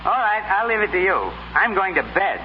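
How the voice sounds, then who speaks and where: A well-bred young gentleman on a dull-sounding 1930s radio recording. His voice is fairly high, polite and friendly. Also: big pitch swings, moderate pace, faint music behind. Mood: affable. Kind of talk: 1930s radio drama acting.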